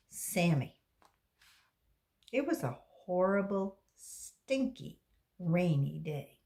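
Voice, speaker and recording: A woman reading a story aloud to young children, expressive and emphatic, as a volunteer reader. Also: medium pitch.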